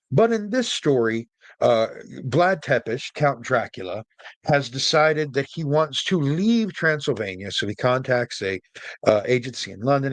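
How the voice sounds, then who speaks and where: A man's voice: mid-range voice, dry recording. A man hosting a storytelling show in a casual, chatty way, with jokey, rambling asides. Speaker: host and storyteller. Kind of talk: casual explainer monologue.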